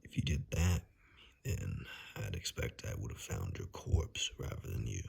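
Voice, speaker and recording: A man playing a cautious forest dweller in an ASMR roleplay, speaking in a hushed, halting, gently reassuring way. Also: very deep voice, very animated, creaky, slight background noise, full character voice. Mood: grave, explanatory. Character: cautious male forest dweller guiding a lost stranger to safety.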